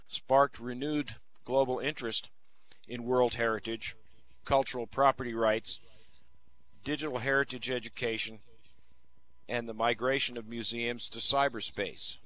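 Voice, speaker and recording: A man giving a conference lecture in a deliberate way. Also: mid-range voice, fairly even intonation, fairly bright tone, no room sound. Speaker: presenter.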